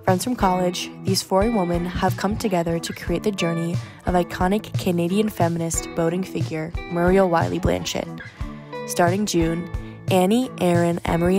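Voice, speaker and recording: A woman reading a scripted podcast introduction as its presenter. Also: on the low side. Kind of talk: scripted narration. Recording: guitar music playing behind. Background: guitar music.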